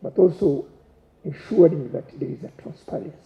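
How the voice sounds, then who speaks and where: A man's voice: on the high side, moderate pace, vocal fry. A man from Tanzania speaking as a conference panelist, hesitant and searching for words. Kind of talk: panel discussion talk. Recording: echoey hall, dull sound.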